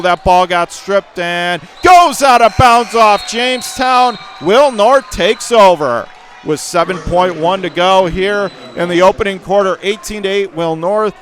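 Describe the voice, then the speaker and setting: A man doing live radio play-by-play of a high school basketball game, speaking in clipped running phrases as the announcer. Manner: clipped running phrases, swelling into excited calls during action.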